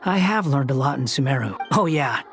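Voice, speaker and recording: A woman's voice: very deep voice, animated, fairly quick, over music. A woman voicing an aloof, dry-witted young male wanderer in a video game, cool and curt. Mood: dry, teasing.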